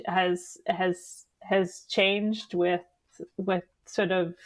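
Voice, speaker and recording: A woman's voice: medium pitch, no echo. Woman host from Canada, headset mic, dry close sound, rambling, restarts. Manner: rambling, many restarts, laughs at herself. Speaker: podcast host. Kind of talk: casual video-call chat. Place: home kitchen.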